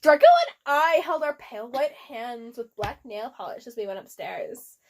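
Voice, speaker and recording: A woman's voice: fairly high pitch, lively intonation, moderate pace, darkish tone. A young woman giving a casual, giggly, mock-dramatic amateur reading of fan fiction, breaking off for asides.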